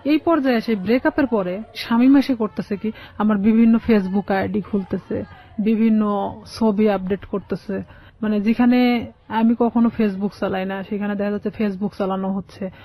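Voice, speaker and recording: Dull-sounding recording of a Bangladeshi woman. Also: mid-range voice. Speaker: guest telling her life story. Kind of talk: personal storytelling in an interview.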